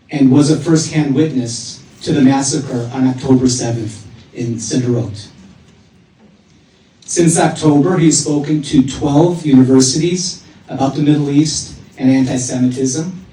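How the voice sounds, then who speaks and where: A man's voice: medium pitch, warm tone, slight background noise. A man introducing a guest speaker at a theater event, reading a prepared bio with small hesitations. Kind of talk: formal introduction. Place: theater auditorium. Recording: echoey hall from far off.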